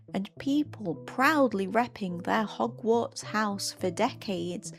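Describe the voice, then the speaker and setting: A woman reading written online comments aloud in a measured, earnest way. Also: mid-range voice.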